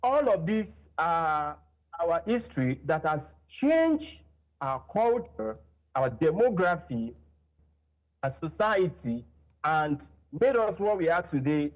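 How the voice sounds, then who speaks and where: A Nigerian man, a native Yoruba speaker, speaking as a guest on a panel in a slow, deliberate way that stretches words for emphasis. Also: on the high side, lo-fi sound. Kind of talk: studio panel discussion.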